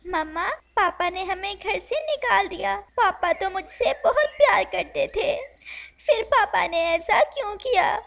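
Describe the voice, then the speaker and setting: A woman's voice: extremely high pitch, animated, unhurried, vocal fry, fairly bright tone, full character voice. A woman voicing an innocent, hurt little girl bird in a cartoon, childlike and plaintive. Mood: tearful. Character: innocent, hurt little girl chick questioning her mother. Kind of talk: Hindi cartoon voice acting.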